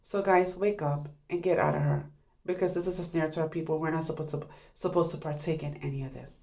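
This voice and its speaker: Congested woman with a low, mellow voice. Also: steady tone, brisk, gravelly. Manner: urgent, repetitive, warning tone. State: congested from a cold. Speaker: online commentator. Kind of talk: casual monologue to viewers.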